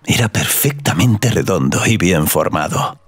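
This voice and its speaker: A man's voice: low-pitched, lively intonation, measured pace, husky, no room sound. A man narrating a scripted audio story.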